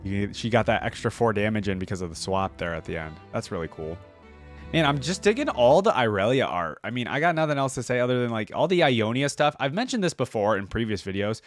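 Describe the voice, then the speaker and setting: Low-voiced man giving casual reactions. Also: animated, quick pace, faint music behind. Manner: informal, thinks aloud, exclamatory. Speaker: reaction video host.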